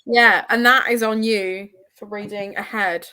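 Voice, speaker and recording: A woman of medium pitch leads a relaxed live readalong chat. She banters with the others and puts emphatic stress on her opinions. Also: unhurried, clean tone. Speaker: readalong discussion host. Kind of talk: casual book discussion, live.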